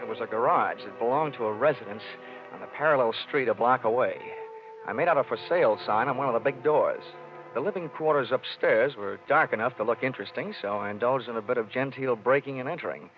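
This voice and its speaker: A man acting a hardboiled private investigator from old radio drama. He is cool, sardonic and full of deadpan wisecracks. The sound is dull and lo-fi, with prominent music behind it. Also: mid-range voice, fast, natural voice. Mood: wry narration. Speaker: narrator and lead actor. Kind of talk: old-time radio detective drama, first-person narration.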